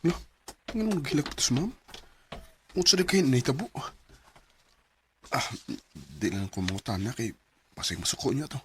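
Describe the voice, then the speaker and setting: A man's voice: medium pitch, sing-song, moderate pace, pauses often, slight background noise, natural voice. A man acting in a Bisaya radio drama as an earnest, meek, hardworking office employee, with a hesitant manner and a Filipino Bisaya accent. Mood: pensive, concerned.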